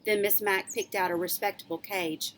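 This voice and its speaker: A woman reads a children's story aloud as a teacher would to young pupils. Her voice sits at an average pitch for a woman, and her delivery is expressive and animated. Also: normal speed, slight background noise. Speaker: elementary school teacher reading aloud.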